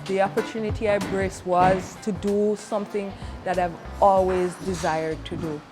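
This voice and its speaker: A woman talks earnestly and at a steady pace about her training, now and then stopping on an "um". Her voice sits in a middle range. Music plays clearly under her words. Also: mid-range voice, normal speed. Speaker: trades program student. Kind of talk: testimonial interview.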